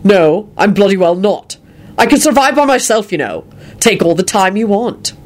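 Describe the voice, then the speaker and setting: A woman acts out a foul-tempered father in a narrated story. He is gruff and thundering, with pitch that swings widely as he grumbles and bellows. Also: very animated, normal speed, close to an everyday voice. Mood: grumpy.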